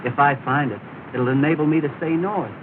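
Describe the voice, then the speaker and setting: A man's voice: a little high, a little fast, close to an everyday voice. Male actor, polite, earnest young man, respectful early-talkie delivery on a thin, noisy soundtrack. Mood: earnest, hopeful. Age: young adult. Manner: respectful, sincere. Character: earnest, polite, affable young gentleman newly arrived north. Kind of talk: early 1930s film acting. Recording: noisy, tinny 1930 film soundtrack.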